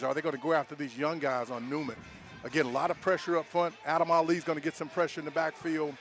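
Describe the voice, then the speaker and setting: Slightly high-voiced American football analyst man. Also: fairly bright tone. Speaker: color analyst. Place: live at a football stadium.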